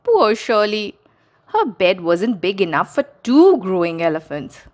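A female host reads a children's story aloud. Her delivery is slow and very expressive, with animated pitch, as she speaks straight to young listeners. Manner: expressive, addressing small children directly.

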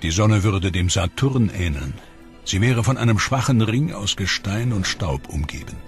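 A man giving calm, measured, scripted narration for a science documentary. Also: fairly low pitch. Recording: music bed under voice.

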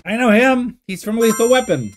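A man's voice: very high voice, lively intonation, moderate pace, slight background noise. A man in his forties, a little throaty while getting over an illness, chatting and exclaiming as he reacts to a movie. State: slightly throaty, recovering from illness. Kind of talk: casual movie reaction commentary. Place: small home room.

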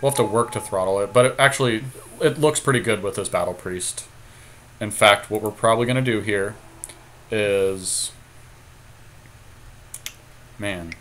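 Low-voiced man thinking through a game. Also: normal speed, long pauses, fairly bright tone, a little hiss or hum. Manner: thinking aloud, analytical. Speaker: podcast co-host playing a card game. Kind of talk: casual game commentary.

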